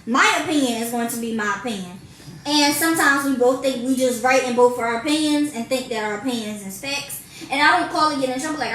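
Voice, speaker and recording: An eighteen-year-old woman talks in a reflective, rambling way and fills her sentences with "like". Her voice sits high. It comes through an echoey room with faint background hiss. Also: fairly bright tone. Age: young adult. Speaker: podcast co-host, daughter. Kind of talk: casual chat.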